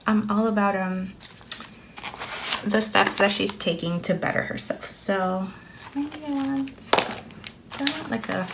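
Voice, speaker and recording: A woman's voice: medium pitch, takes it slowly, long pauses. A woman whose first language is Spanish, chatting casually and rambling with many fillers as she decorates a planner. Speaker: planner vlogger. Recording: steady background noise with paper crinkling. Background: sticker and paper crinkling.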